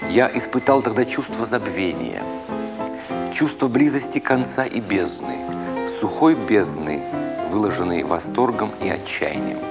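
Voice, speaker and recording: A man with a fairly low voice narrates a literary story in the first person. His phrasing is expressive and deliberate, in a dramatic storytelling style. Music plays clearly behind him.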